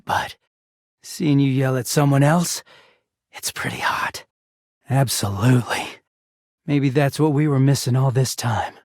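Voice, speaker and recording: A man playing a put-upon, sarcastic slacker boyfriend in a video game, casual and excitable. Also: medium pitch, husky, no echo, studio quality. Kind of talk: video game character acting.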